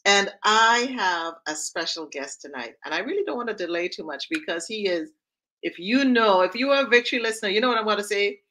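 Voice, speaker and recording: A woman's voice: medium pitch, animated, brisk, bright, forceful tone, slight background noise. Upbeat woman host, slight Trinidadian lilt. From Trinidad and Tobago heritage. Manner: enthusiastic, encouraging. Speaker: talk show host and mental fitness coach. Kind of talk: warm upbeat interview hosting. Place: home room on a video call.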